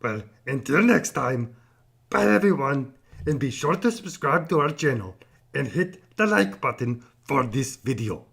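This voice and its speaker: Husky, animated kids' channel host. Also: mid-range voice, a little slow. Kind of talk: children's video chat.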